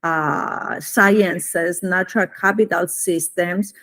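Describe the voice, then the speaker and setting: A middle-aged woman with a Greek Cypriot accent, a native Greek speaker, giving formal, deliberate academic answers as an interview guest, with frequent pauses. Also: lowish voice, steady tone, bright, forceful tone. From Cyprus. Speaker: economics professor, interview guest.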